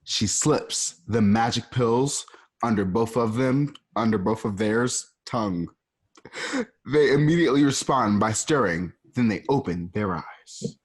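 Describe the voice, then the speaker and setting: A man's voice: mid-range voice, unhurried, husky. A man narrating stage directions for a home radio play, casual and offhand. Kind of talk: reading stage directions aloud.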